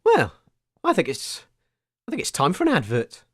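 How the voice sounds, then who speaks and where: A woman's voice: deep voice, huge pitch swings, normal speed, husky, dry recording. A woman co-hosting a comedy radio show in a chirpy presenter manner that often turns mock-shocked. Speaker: co-host presenter.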